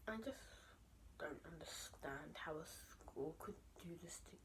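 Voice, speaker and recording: A teenage girl venting to camera late at night, speaking softly and often in a whisper, raw and emotional, her tone shifting as she goes. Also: low voice, lively intonation, measured pace. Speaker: vlogger. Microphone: camera mic.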